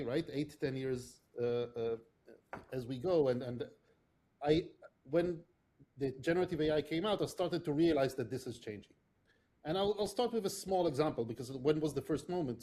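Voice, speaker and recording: A man's voice: a little high, mellow. A middle-aged man, a product leader giving a thoughtful interview answer, with many restarts and fillers. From Israel. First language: not English. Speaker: podcast guest, product leader.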